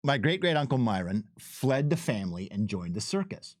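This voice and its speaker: A man, a game developer, telling stories in an emphatic, animated way in a casual unscripted livestream chat. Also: mid-range voice, moderate pace, no room sound, studio quality. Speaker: game developer giving commentary.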